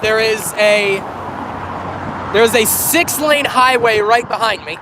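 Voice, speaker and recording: A high-pitched man talks casually to his chat on a phone stream, his voice a bit off from a cold. He stumbles, restarts and corrects himself as he goes. Loud highway traffic and wind roar behind him outdoors. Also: takes it slowly. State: recovering from a cold, coughing. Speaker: railfan livestreamer. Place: outdoors on a bridge by a busy highway. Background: heavy traffic and wind.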